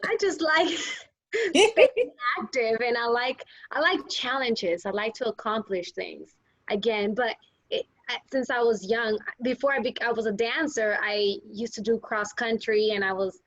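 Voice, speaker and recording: A woman's voice: a little high, measured pace, fairly bright tone, no echo, highs cut off. Upbeat woman panelist, dry close sound. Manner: upbeat, giggly. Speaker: panelist, former dancer. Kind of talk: casual panel discussion.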